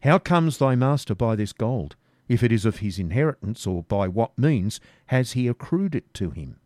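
A man's voice: medium pitch, big pitch swings, normal speed, a little mellow, no echo, studio quality, close to an everyday voice. A man voicing a bold, mocking outlaw leader who gives harsh commands. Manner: taunting, commanding.

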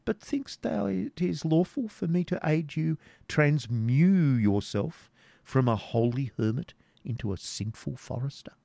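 A man's voice: a little high, lively intonation, measured pace, gravelly, mellow, dry recording, pitched above the performer's own voice, exaggerated voice. A man voicing a witty medieval jester who teases with mock-pious wordplay in old-fashioned English, in a storybook reading. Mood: teasing. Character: witty, teasing male medieval jester servant.

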